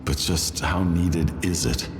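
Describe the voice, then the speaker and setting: A man playing a grave, brooding army general, speaking in a solemn, deliberate, theatrical way. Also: sits low, fairly even intonation. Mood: solemn, philosophical. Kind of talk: slow theatrical stage monologue. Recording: echoey hall, long reverb, faint music.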